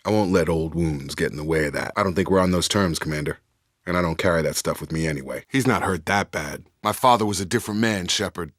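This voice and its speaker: Deep dry-sounding game soldier, loyal squadmate, guarded but frank, clipped military talk. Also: male voice, fast talker, dry recording. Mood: guarded, reflective. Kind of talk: video game character dialogue.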